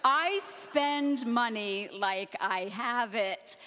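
A woman in her forties doing a stand-up set on a theater stage into a handheld mic, delivering deadpan self-deprecating one-liners. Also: on the high side, extremely slow, slight background noise. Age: 40s. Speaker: stand-up comedian. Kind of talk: stand-up set and backstage interview.

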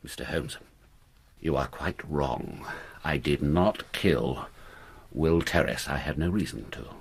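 An actor playing a deranged, hero-worshipping stage actor turned murderer in a radio drama, theatrical, halting and distraught. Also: male voice, very deep voice, gravelly, husky, exaggerated voice. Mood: quiet defiance.

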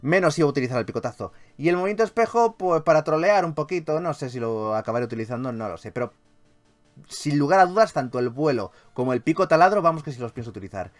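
A man's voice: on the high side, animated, fast, faint music behind. A man with an accent from Spain doing casual game commentary as a YouTube host, enthusiastic, playfully grandiose and freely swearing. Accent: Spanish from Spain.

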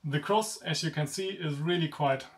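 A young man with a German accent, a native German speaker, chatting casually through a sword review in a room lined with swords, in a relaxed, self-deprecating way. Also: a little high, normal speed, recorded from a distance. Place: indoor room with swords on wall rack. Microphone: camera at chest-up framing.

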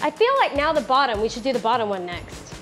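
A woman in her thirties hosting a casual woodworking tutorial in her home garage, chatty and quick to correct herself. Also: fairly high pitch, sing-song, a bit bright, faint music behind. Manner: chatty, self-correcting, laughs often. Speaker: DIY woodworking host.

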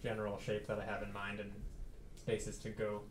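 A man's voice: lowish voice, moderate pace. Man in his thirties, pianist chatting between tunes, thoughtful, lots of ums, echoey living room, faint hiss. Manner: relaxed, thoughtful, many fillers and restarts. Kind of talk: casual talk between tunes. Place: living room house concert, small audience.

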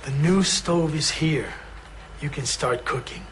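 An actor playing an older man from the Dominican Republic who gently reassures his wife. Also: fairly high pitch, a little slow, slight background noise. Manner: tender, reassuring.

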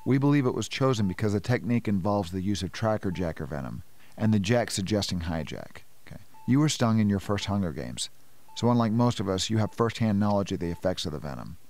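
A man's voice: lowish voice, animated, fairly quick, few pauses, darkish tone. A middle-aged man acting in a radio play as a methodical scientist, explaining things precisely. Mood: explanatory.

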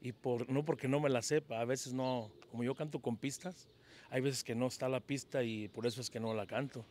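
A humble Mexican man in his fifties answers questions outdoors in a plaza, speaking into a handheld mic held out to him. His voice sits at an ordinary pitch, with faint outdoor ambience behind it. He hesitates and repeats words as he talks. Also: steady tone, fairly quick, a little mellow, a little hiss or hum. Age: 50s. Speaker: interviewee, local singer. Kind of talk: casual street interview. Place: town plaza outdoors at dawn. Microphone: handheld mic held by interviewer.

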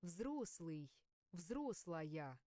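A woman reading single vocabulary words and short phrases aloud for a language course, slowly and carefully enunciated. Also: fairly low pitch, sing-song, dark tone, no room sound, studio quality. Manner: slow, careful word-by-word enunciation. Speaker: language course audio reader.

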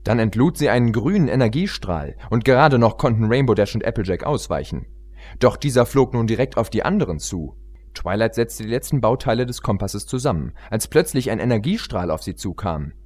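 A man's voice: mid-range voice, lively intonation, fairly quick, darkish tone. A man reading a story aloud as an audiobook narrator in a scripted narration style.

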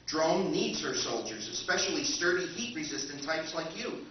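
A courteous, relentless machine speaks in formal, measured sentences, as a man acts it out on stage. The voice sits a bit high, and it comes across dull and distant, with a hall's heavy echo around it. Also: slight background noise, close to an everyday voice. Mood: calm, formal. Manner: formal, measured, calmly menacing. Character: polite, relentless robot ship caretaker. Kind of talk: live old-time radio recreation on stage. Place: hotel function room stage with audience. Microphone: stand mic, far camera. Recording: echoey hall from far off, dull.

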